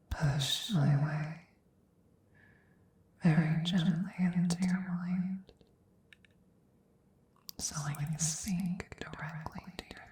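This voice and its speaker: Breathy whispering gentle witch, played by a woman. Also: deep voice, full character voice. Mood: hushed, soothing. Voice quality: soft, breathy, often whispering. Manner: tender, slow, reassuring. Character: gentle protective witch soothing her werewolf familiar. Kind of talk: ASMR roleplay. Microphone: close microphone. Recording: close-up whispery ASMR recording.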